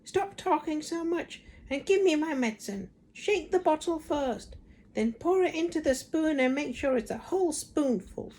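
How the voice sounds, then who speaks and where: A shrill, spiteful old grandmother screeches her orders. The voice sits extremely high and loud, and it swoops sharply up and down as she snaps and yells. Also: expressive, moderate pace. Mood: snappish scolding. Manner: screeching, snapping, yelling.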